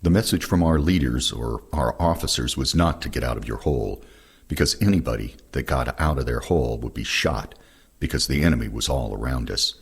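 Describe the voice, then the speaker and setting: An older man with a gravelly, husky voice reading first-person war recollections aloud. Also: a bass voice, animated, fairly quick. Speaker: reader of veterans' oral histories.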